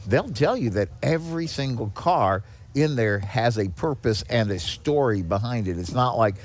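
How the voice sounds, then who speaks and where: A man's voice: mid-range voice, lively intonation, normal speed. A man speaking as a guest in a casual interview, earnest and appreciative in manner. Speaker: podcast guest, charity executive director. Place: on location at a garage. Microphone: podcast microphone with headphones.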